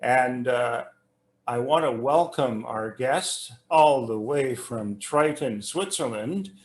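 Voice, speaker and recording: A man hosting an interview over an internet call, reading an introduction in a halting, rambling way. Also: medium pitch, animated. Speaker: host interviewing a musician. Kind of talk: conversational interview, introduction read from notes. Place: remote internet video call. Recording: roomy, echoing internet call audio.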